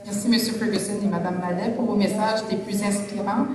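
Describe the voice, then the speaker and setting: A woman acting as master of ceremonies, making formal, measured announcements at a graduation. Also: medium pitch, steady tone, crowd noise. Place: large hall at a graduation ceremony. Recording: echoey hall, voice far off, audience behind.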